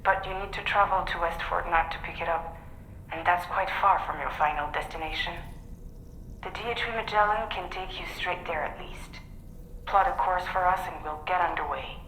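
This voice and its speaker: A businesslike female mission operator in a game. Her voice is bright and slightly low, with a reassuring manner. It echoes, with a faint rumble behind. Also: moderate pace. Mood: calm, reassuring. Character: composed, caring adult female mission operator. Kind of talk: video game mission briefing.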